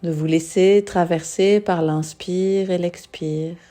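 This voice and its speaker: A woman guiding a yoga nidra meditation in a soothing, unhurried manner, leaving long pauses. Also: on the low side, fairly even intonation, dark tone. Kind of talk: guided meditation.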